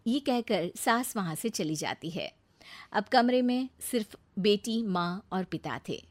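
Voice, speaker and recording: A woman's voice: medium pitch, animated, measured pace, dry recording. A woman serves as narrator of a scripted tale. She tells it as a storyteller, linking one scene to the next.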